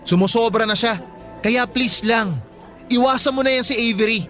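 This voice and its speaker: A man's voice: very high-pitched, normal speed, fairly bright tone. A man acting an earnest, disapproving young boyfriend in a radio drama, with a Filipino accent and an insistent, emotional delivery. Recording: music bed behind the voice.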